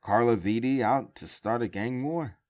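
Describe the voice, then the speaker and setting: A man's voice: medium pitch, moderate pace, darkish tone, close to an everyday voice. A man playing a brash, cocky young district attorney in an audio drama, sardonic and flippant. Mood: mocking.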